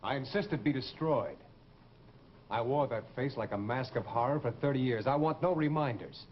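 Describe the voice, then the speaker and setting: A man's voice: medium pitch, normal speed, slight background noise, lightly acted. Man acting cold, menacing man, muffled old recording. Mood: bitter, insistent. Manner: cold, formal, insistent. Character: bitter, menacing, secretive former disfigured patient. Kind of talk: old-time radio horror acting.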